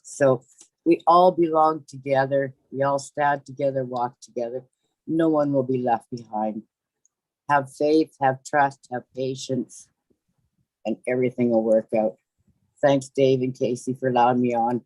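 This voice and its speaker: A man's voice: mid-range voice, steady tone, measured pace, a little mellow, dry recording, rough recording. A man giving an earnest, preachy testimonial on a video call, repeating his phrases. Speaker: webinar participant. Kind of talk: faith-filled testimonial.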